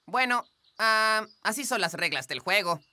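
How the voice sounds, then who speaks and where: A woman's voice: mid-range voice, animated, measured pace, noisy background, natural voice. A woman voicing an earnest, ambitious young boy kitten in a Latin American Spanish cartoon dub.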